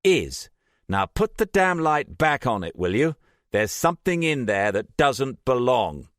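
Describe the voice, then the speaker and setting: A man's voice: mid-range voice, sing-song, unhurried, fairly bright tone, dry recording, clean studio sound, pitched above the performer's own voice. A man voicing a driven woman archaeologist who speaks firmly and insistently. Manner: firm, terse and insistent.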